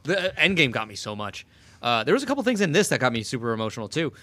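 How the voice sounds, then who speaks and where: A man co-hosting a casual chat, animated, swearing freely and tossing off quick asides. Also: medium pitch, no room sound.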